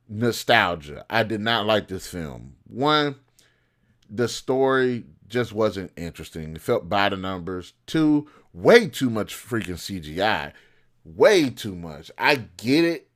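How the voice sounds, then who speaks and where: A man hosting a film review show, giving casual, opinionated commentary in an informal, slangy, blunt way. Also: on the low side.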